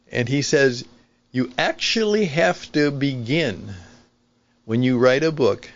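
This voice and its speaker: An older man hosting a talk show with a conversational opinion monologue, speaking in an emphatic, deliberate, explanatory way. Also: medium pitch, dull audio. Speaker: podcast host and veteran broadcaster.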